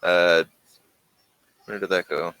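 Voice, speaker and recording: A man acting as game master, narrating a tabletop role-playing game in a halting way. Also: sits low, fairly even intonation, a bit bright, rough recording.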